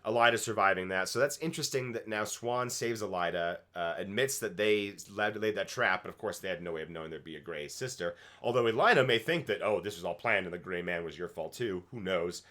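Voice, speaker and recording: A man with a low-pitched voice talks through an episode as a review co-host. His delivery is conversational and opinionated, with emphatic wording and casual profanity. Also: fairly quick, few pauses, bright, forceful tone. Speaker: fan review show co-host.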